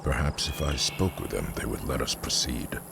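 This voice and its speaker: A man's voice: rumbling low, expressive, normal speed, creaky, background noise, full character voice. A man voicing a loyal, devout army general in a video game, formal and deferential. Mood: earnest, worried. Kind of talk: video game cutscene acting.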